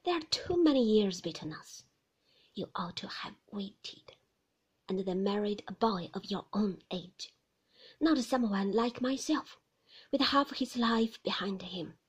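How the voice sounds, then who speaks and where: A woman reading an audiobook voices a moody, brooding older husband in a slow, hushed half-whisper. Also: medium pitch, animated, husky.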